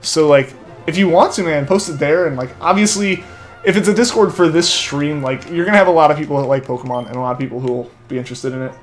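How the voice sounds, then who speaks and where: A young man rambles through a live game stream, reading viewer comments aloud and padding nearly every phrase with "like". His voice sits a little high for a man and comes through a headset mic. Faint game music plays underneath. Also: quick pace. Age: young adult. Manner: rambling, filler-heavy, keeps saying like. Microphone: headset mic feeding back to headphones.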